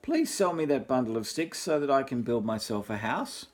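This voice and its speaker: A polite little pig from a storybook, earnest and plucky as an older man acts him out. The pitch swoops around a lot and the voice is a bit husky. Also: very animated, moderate pace. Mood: polite, eager.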